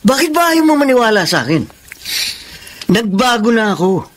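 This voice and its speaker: An actor playing a defensive, pushy, unfaithful husband in a Filipino romantic radio drama. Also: male voice, high-pitched, sing-song, normal speed. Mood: defensive, exasperated.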